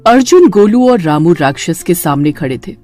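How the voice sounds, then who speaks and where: A woman's voice: fairly low pitch, big pitch swings, moderate pace, warm tone, faint music behind. A woman narrator reading a scripted horror story in a dramatic storytelling manner.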